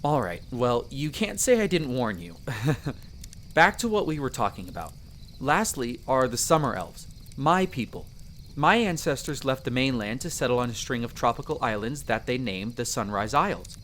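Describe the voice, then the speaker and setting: A man playing a friendly, earnest young elf explorer in roleplay audio, explaining things chattily with hesitant pauses. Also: mid-range voice, very animated, a bit bright, slight background noise. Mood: amused, wary, then proud, explaining. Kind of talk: character roleplay audio addressed to the listener.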